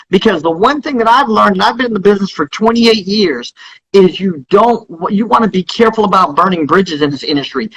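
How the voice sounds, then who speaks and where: Middle-aged man, high raspy voice, emphatic interview guest giving motivational business advice. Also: bright, forceful tone, rough recording. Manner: emphatic, exhorting, repeats himself for stress. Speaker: guest, music industry founder.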